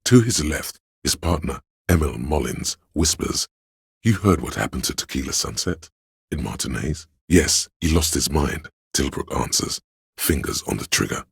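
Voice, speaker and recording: A man with a gravelly, husky voice reading scripted literary narration for a video game in a measured, solemn, deliberate way. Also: rumbling low, animated, no echo, clean studio sound.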